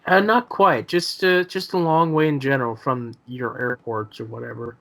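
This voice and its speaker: A man's voice: mid-range voice, steady tone, moderate pace, creaky, a bit bright. A twenty-two-year-old man in a casual chat, speaking hesitantly and often repeating his words. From South America.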